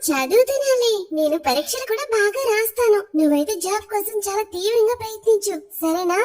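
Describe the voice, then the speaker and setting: A woman's voice: extremely high pitch, expressive, a little fast, a little mellow. A woman voicing a young college girl in love, insistent with those close to her, in a cartoon story. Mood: nagging. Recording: music behind the voice. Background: music.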